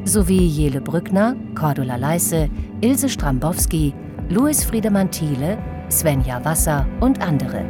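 A female announcer reads scripted credits in a very deep register for a woman. Her delivery is slow, formal and neutral, over clearly audible music. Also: very animated. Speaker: radio play announcer.

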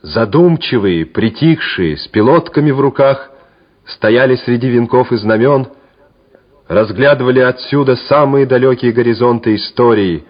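A man narrating a Soviet war radio play in a measured, solemn, declamatory manner. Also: medium pitch, husky, close to an everyday voice. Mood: lofty, reverent. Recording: dull vintage radio play recording, loud music. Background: music.

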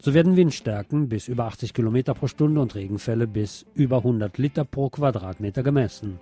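A man's voice: medium pitch, fast talker, dark tone. A man presenting scripted magazine news on the radio, with a wry, ironic touch in his delivery.

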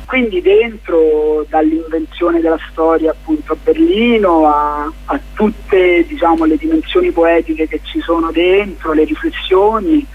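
Male interview guest, husky, higher-pitched, reflective and halting delivery. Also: narrow, tinny audio, soft background music. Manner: halting, searching for words, many fillers and restarts. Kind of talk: reflective radio interview answers.

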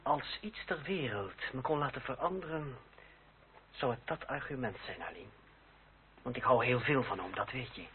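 An actor playing a young lawyer and duellist who is composed, ironic and defiant, in a period radio drama. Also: male voice, mid-range voice, expressive, moderate pace, lightly acted. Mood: cool irony. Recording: tinny, band-limited old radio recording with hiss.

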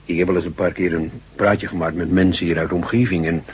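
A man's voice: low-pitched, steady tone, fast talker, a little mellow, breathy edge. A man acting a burly, folksy construction worker, uneasy and deferential, in a science fiction radio drama. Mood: suspicious, confiding. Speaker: actor in a radio play. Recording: dull, noisy old radio recording.

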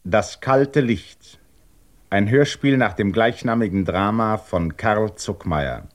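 A man's voice: lowish voice, slow pace. A man announcing a radio play's title in a scripted 1950s broadcast style.

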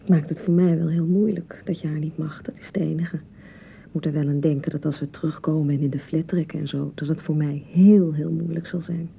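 An actress playing an insecure young newlywed wife in a radio drama, nagging and reproaching in repeated, sarcastic phrases. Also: female voice, low-pitched, gravelly, muffled recording, slight background noise. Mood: hurt, reproachful. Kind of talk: naturalistic radio drama acting.

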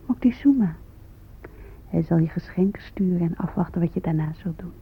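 Hushed, husky young woman. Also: lowish voice, normal speed. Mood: hushed, assured. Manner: hushed, breathy, half-whispered. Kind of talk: radio drama acting. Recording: muffled phone-like old radio play.

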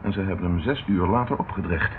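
An actor playing a stern, impatient police inspector in a radio play, speaking in a dry, businesslike way. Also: male voice, deep voice, fast talker, close to an everyday voice. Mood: brisk, pondering. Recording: muffled, noisy old radio recording.

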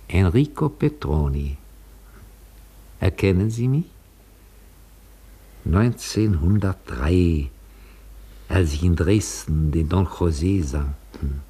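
An actor playing a gentle, nostalgic old retired opera tenor in a German radio play, courteous and halting. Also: male voice, deep voice, husky, exaggerated voice. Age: older.

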